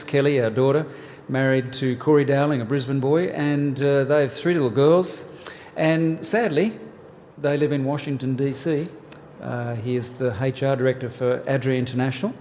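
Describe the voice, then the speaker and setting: An Australian man in his sixties talks to a church gathering in a relaxed, joking way, with plenty of "uh" fillers. His mid-pitched voice carries a long echo from the church hall. A faint hiss sits under it. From New South Wales, Australia. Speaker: family ministries pastor. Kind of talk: church workshop talk.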